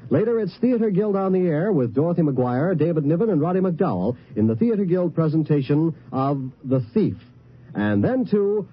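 A man's voice: fairly high pitch, slight background noise. A man with an American accent reading a scripted promo as a 1950s radio network announcer. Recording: muffled old radio recording.

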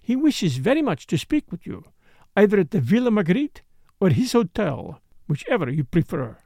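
A man's voice: high-pitched, big pitch swings, moderate pace, creaky, darkish tone, no room sound, studio quality, full character voice. A man playing a courteous middle-aged detective with a French accent and neat, precise, formal diction in an audiobook. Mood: courteous, brisk.

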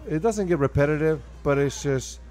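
A man's voice: on the high side, moderate pace, darkish tone, soft background music. A man from Argentina with an Argentine accent and Spanish as his first language, speaking emphatically and enthusiastically as an interview guest. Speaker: guest, restaurant owner. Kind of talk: conversational interview.

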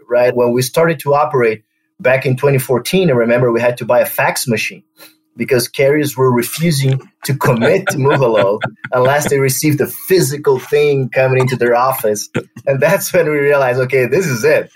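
A man from Brazil with a Brazilian accent, speaking as an interview guest in a measured way, often adding "uh" and "right?". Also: mid-range voice, breathy edge, poor audio. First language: Portuguese. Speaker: guest, company co-founder. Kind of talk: conversational interview.

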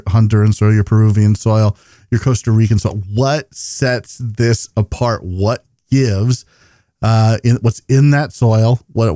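Guy hosting a casual podcast, mid pitched voice, really dry sound, kinda rephrases his questions. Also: dark tone, no room sound. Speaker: podcast host. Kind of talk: casual conversational interviewing.

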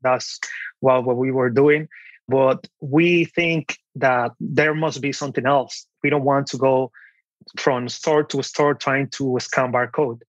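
A man's voice: mid-range voice, steady tone, takes it slowly, no room sound. Dominican-accented man on a dull, muffled connection. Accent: Dominican Spanish. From Dominican Republic. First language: Spanish. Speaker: podcast guest, online seller. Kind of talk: casual interview storytelling.

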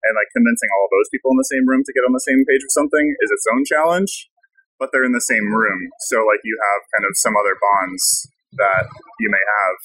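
A man hosting a podcast and interviewing a guest in a casual chat. He talks in a relaxed way and says "like" a lot. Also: fairly low pitch, brisk, husky, no room sound, low-quality recording. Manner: relaxed, many fillers like "like". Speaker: podcast host. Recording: outdoor field recording.

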